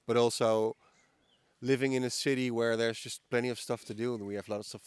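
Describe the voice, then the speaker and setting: A man in his early forties speaks with a Dutch accent as an interview guest. His voice is smooth, clear and medium in pitch. The recording is dry, made outdoors in a park, and his answers are casual and conversational. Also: fairly even intonation, a little fast, dry recording. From the Netherlands. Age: early 40s. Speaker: podcast guest.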